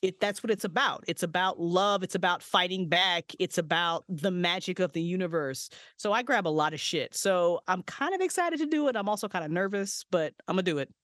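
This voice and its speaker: Excitable, foul-mouthed woman in dry booth. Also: on the low side, no room sound. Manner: excited, swears freely, fast restarts. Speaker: podcast guest, writer and voice actress. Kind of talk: casual podcast interview. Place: small handmade vocal booth.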